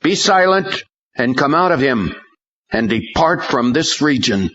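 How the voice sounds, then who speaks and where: A man voicing a bold male pastor who forcefully rebukes a demon. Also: mid-range voice, sing-song, unhurried, voice pushed up. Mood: commanding. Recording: dull lo-fi recording.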